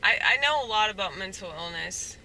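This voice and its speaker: A man rambling in a soft-spoken, halting way, full of fillers, as a stand-up comedian musing aloud. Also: high voice, expressive, gravelly, a little hiss or hum, low-quality recording. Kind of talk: rambling casual monologue.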